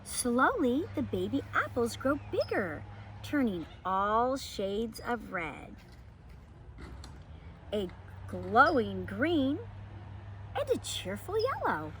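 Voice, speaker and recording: A woman presenting a children's lesson and picture book read-aloud as a homeschool teacher, with expressive, emphatic delivery aimed at young children. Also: a little high, slow, pauses often, a little hiss or hum.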